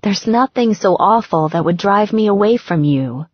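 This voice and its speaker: A woman's voice: mid-range voice, lively intonation, moderate pace, breathy edge, dry recording, muffled recording, voice pushed up. A woman voicing an impulsive, affectionate young bride in a romance audiobook, earnest and gushing in a lighter voice. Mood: earnest, loving.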